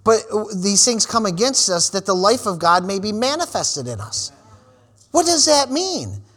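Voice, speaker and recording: A man in his forties preaching a sermon, calling out "amen" and "praise the Lord" between lines and building to shouted, repeated refrains. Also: high voice, very animated, measured pace. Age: 40s. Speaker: pastor.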